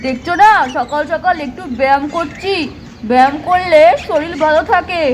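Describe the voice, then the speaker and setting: A very high-voiced village farmer husband from a doll cartoon, played by a woman, chatty and full of instructions. He is proud and bossy, and there is faint noise behind the voice. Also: brisk, natural voice. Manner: instructing, chatty, repetitive urging. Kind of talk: acted children's doll-play cartoon dialogue.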